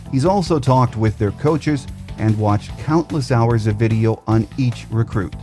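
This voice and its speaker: A man hosts a sports podcast in a soft-edged, mellow voice of middling pitch. His delivery is measured and announcer-like, suited to scripted intros and interview questions.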